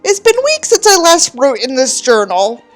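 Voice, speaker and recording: A woman reads the part of a resentful young man confiding in his journal. The delivery is pompous and melodramatic, with lively swings in pitch and faint music underneath. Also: normal speed. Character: self-important, bitter young male journal writer. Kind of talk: mocking dramatic reading of a novel.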